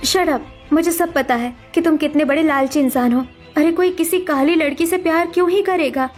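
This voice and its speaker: A woman's voice: high-pitched, normal speed, darkish tone, faint music behind, close to an everyday voice. A woman voicing a sensitive young sister-in-law with earnest emotion in cartoon story dialogue. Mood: hurt, defensive.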